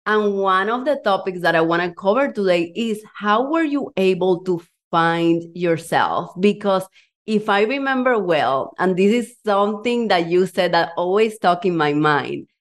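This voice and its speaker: A woman's voice: medium pitch, lively intonation, measured pace. A woman hosting a podcast as a coach, interviewing a guest in an enthusiastic, effusive way. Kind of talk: warm interview chat.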